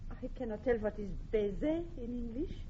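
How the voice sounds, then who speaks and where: A woman's voice: on the high side, normal speed, dark tone, slight background noise, close to an everyday voice. An actress playing a hesitant French lady-in-waiting interpreting in broken English, with a French accent, in a radio drama. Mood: hesitant, eager. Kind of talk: classic Shakespearean radio drama acting. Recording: muffled old radio recording.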